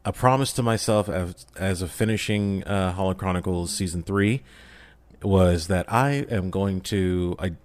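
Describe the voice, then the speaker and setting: Man, deep voice, dry close sound, casual chat, joking, theatrical asides, podcast guest. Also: unhurried, dry recording. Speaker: podcast guest, audio drama voice actor.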